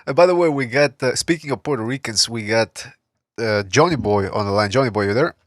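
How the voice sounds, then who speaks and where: A laid-back male podcast host taking callers and reading out chat comments, easygoing and quick to laugh. The sound is dry and close, with keyboard typing behind him. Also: medium pitch, no room sound. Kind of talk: casual call-in show hosting.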